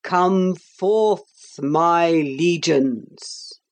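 A solemn, imperious ancient Roman commander from a storybook, played by a woman reader. The delivery is very slow, stately and formal, declaimed like a proud general giving orders. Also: on the low side, darkish tone. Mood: commanding.

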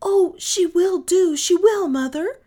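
A woman voicing two eager young children in a storybook reading, high and exclamatory. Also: takes it slowly, dark tone. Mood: pleading.

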